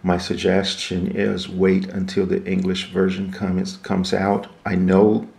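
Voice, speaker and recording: A man's voice: sits low, completely flat delivery, measured pace, vocal fry, darkish tone. A man giving a casual, unscripted walkthrough of equipment as a reviewer, explaining things step by step. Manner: explanatory, step by step, asks and answers own questions. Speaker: product reviewer.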